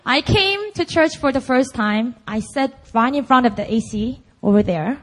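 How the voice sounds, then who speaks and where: High-voiced Korean-accented woman preaching. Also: animated, moderate pace. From Busan, South Korea. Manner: chatty and emphatic, full of "like" and "right?". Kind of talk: conversational sermon.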